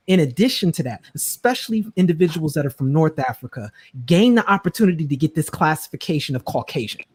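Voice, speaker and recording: A man in a live stream panel discussion, making long measured analytical points. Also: a little high, husky, dry recording.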